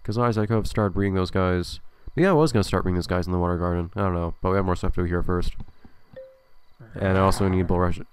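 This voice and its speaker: A man's voice: low voice, fast talker, a little hiss or hum. A man commentating a gameplay video casually, thinking aloud with a slightly nasal, stuffy voice. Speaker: let's play host.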